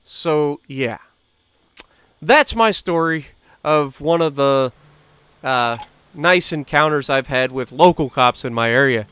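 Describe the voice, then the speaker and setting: A man's voice: fairly high pitch, slow, long pauses, a bit bright, smooth, no echo. An American man telling a rambling personal story as he casually commentates over gameplay in a conversational way. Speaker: gaming video host.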